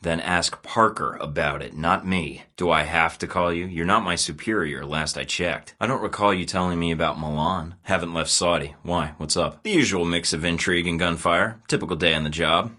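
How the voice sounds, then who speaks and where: A man's voice: low-pitched, steady tone, moderate pace, few pauses, pushed and bright. An actor playing a dry, sarcastic male secret agent in a video game, delivering wry, understated lines in a studio.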